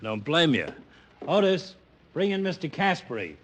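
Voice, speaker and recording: An actor playing a male police lieutenant in an old-time radio drama, brisk and dry in his banter. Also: on the high side, very animated. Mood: dry, official. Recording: old radio recording with faint noise.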